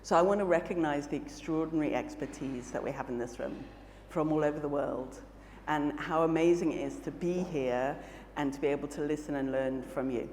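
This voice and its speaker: Woman with a british-south african mix accent, low voice, giving a keynote in a big reverby hall. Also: a little fast, darkish tone, a little hiss or hum. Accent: mixed British and South African. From Malawi. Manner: earnest, reflective, with frequent fillers and restarts. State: jet lagged. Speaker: keynote speaker. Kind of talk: conference presentation. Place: large conference hall on stage. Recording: echoey hall, faint ambience.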